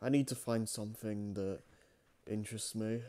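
A man's voice: lowish voice, steady tone, normal speed, warm tone. A man co-hosting a show in casual, unscripted chat, with a hesitant, rambling way of talking. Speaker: co-host of a fan fiction reading show.

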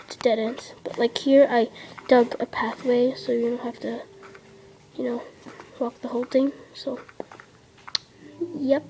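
A woman's voice: high voice, a little mellow, a little hiss or hum, poor audio. A young girl casually narrating her mobile gameplay indoors, rambling with lots of fillers and dropping into half-whispers. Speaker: gameplay vlogger. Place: indoors at a table. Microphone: device camera filming a handheld screen.

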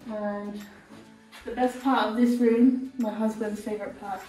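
A woman's voice: mid-range voice, fairly even intonation, measured pace, dark tone. A woman in her thirties giving a casual walk-and-talk house tour as a vlogger, recorded indoors in empty rooms on a camera several metres away. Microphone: camera held by another person, several metres away. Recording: echoey empty rooms, distant mic, background music. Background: music.

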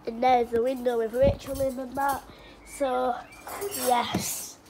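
A woman's voice: high-pitched, level tone, takes it slowly. A girl giving a hesitant, rambling house tour on a phone held at arm's length, with lots of ums. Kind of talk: casual home vlog. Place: suburban house, indoors and garden. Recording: noisy phone recording at home.

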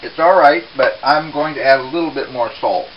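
A man presenting a casual home cooking demonstration in an unhurried, explanatory way, recorded in a kitchen. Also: medium pitch. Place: small home kitchen, indoors. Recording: dull, hissy home camera audio.

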